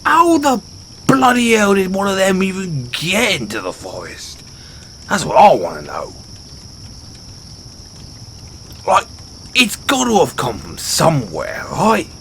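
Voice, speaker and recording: A British man voicing a dim, sheepish orc barbarian in a fantasy audio roleplay, speaking in a halting, blunt, stammering way. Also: high voice, huge pitch swings, fairly bright tone, a little hiss or hum, heavily acted. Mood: exasperated. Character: gruff but sheepish, dim orc barbarian adventurer, adult male. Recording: outdoor night ambience with crickets.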